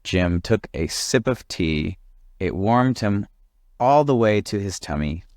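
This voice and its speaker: Man, children's story narration, slightly low pitch, slow pace, animated intonation, dry sound. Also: dry recording. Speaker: storybook reader.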